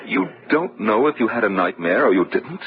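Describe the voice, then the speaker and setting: A man plays a weary, level-headed companion with a lowish voice and a firm, reasoning manner. The style is vintage radio horror, on a muffled recording with clear background noise. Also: lively intonation, moderate pace, lightly acted. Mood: alarmed. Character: sensible, weary male companion to a madman. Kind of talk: old-time radio horror drama acting.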